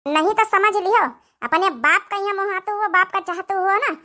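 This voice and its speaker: A woman voicing a sly, threatening middle-aged stepmother in an Awadhi comedy cartoon, bossy and forceful, with an Awadhi accent. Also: very high voice, normal speed.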